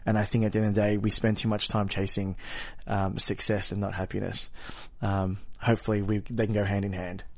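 A man's voice: lowish voice, flat delivery, fairly quick, a little mellow. A man with an Australian accent chatting casually as an interview guest, informal and self-deprecating, in a loose, rambling way. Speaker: interview guest, professional wrestler. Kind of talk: casual, joking interview chat.

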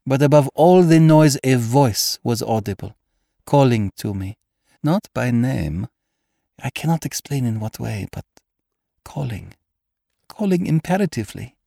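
A man's voice: medium pitch, mellow, no echo, studio quality, voice pushed up, lightly acted. A man voicing a dramatic, anxious, pleading noblewoman in an audiobook. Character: beautiful, cold yet frightened foreign noblewoman. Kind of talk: audiobook character acting.

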